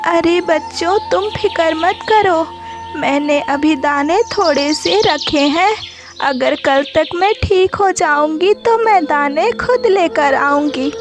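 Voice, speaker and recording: A woman voicing a gentle, devoted and weary mother bird in a children's cartoon, with an earnest, plaintive delivery. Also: very high voice, takes it slowly. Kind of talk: Hindi children's cartoon story acting. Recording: music behind the voice.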